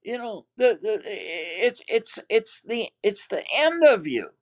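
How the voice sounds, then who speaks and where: Slow, halting Texan man. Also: high voice, big pitch swings. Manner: halting, many restarts and fillers. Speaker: former TV producer, guest. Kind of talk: reflective interview talk.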